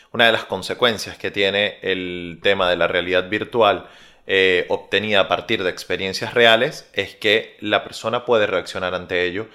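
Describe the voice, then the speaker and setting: A young Venezuelan man with a Venezuelan Spanish accent, a researcher answering interview questions in a measured way with frequent pauses. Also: deep voice, pushed and bright. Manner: measured, many filler "eh" pauses.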